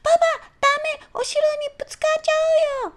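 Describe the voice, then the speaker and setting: Woman doing squealing excitable little girls. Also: normal speed, a little hiss or hum, close to an everyday voice. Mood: shouting angrily. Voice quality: high and squealing.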